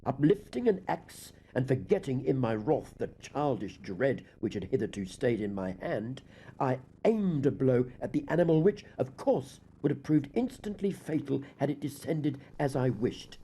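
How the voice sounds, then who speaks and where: A man's voice: mid-range voice, very animated, moderate pace, warm tone, breathy edge. Man acting a wildly swinging gothic madman confessing murder. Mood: mounting rage. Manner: theatrical, formal, dramatic emphasis. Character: deranged, guilt-haunted male murderer confessing, swinging from dread to frenzy. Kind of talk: dramatic gothic audiobook reading.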